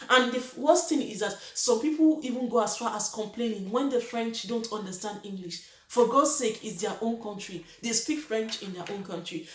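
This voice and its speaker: Nigerian woman, earnest, echoey room. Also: a little high. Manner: earnest, emphatic, repeats herself. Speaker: vlogger. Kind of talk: opinion vlog to camera. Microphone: reads from a list below the camera.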